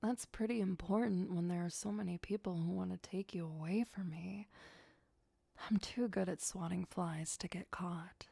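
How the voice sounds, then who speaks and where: A woman playing a possessive, sweetly menacing vampire girlfriend who is centuries old, in a soft, intimate ASMR roleplay, mostly whispered and close to the microphone. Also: on the low side, steady tone, moderate pace, no echo. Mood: disdainful, menacing. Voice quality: breathy, soft. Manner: coaxing, darkly playful, obsessive. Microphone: close, dry microphone.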